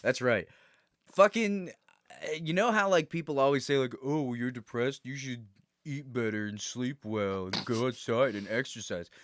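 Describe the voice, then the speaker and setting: A man's voice: mid-range voice, normal speed, a bit bright, no room sound, studio quality. A man co-hosting a casual podcast, chatting loosely, swearing and laughing often. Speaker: podcast co-host. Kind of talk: casual chat.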